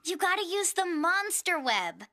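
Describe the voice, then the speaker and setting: A woman's voice: very high voice, measured pace, noisy background, heavily acted. A woman playing a perky, confident teenage monster girl in a cartoon, bubbly and a little teasing. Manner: bubbly, excitable, a bit teasing. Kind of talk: animated film voice acting.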